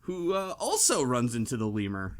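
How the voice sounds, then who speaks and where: A man with a medium-pitched voice talks casually on a podcast. He is easygoing, moving between loose recap and jokes, and his phrases tend to trail off. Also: huge pitch swings, moderate pace. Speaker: book club podcast panelist. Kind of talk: casual chat, recapping and joking.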